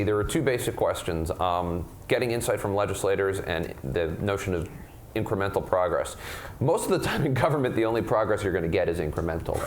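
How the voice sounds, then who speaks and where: Earnest low-voiced man answering questions. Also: slight background noise. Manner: earnest, repeats words and restarts sentences. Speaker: state legislator at advocacy forum. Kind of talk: panel Q&A answers.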